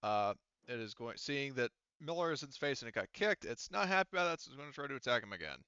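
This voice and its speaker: A man running a tabletop roleplaying game as game master, casual and sweary, halting as he mutters through the rules. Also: medium pitch, very animated, sharp tone, clear, resonant tone, dry recording, highs cut off. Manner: halting, muttering to himself while checking rules.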